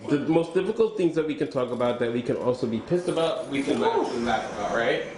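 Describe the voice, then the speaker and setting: A man's voice: medium pitch, sing-song, quick pace. A man hosting a casual interview, upbeat and laughing along with his guests. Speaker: interview host. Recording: echoey room with loud crowd noise.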